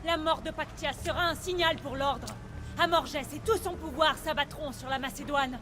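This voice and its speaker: An actress playing a warrior's earnest young daughter in a video game, intense and wounded. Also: female voice, high voice, moderate pace, over music, lightly acted. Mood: urgent. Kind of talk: dramatic video game acting, French dub.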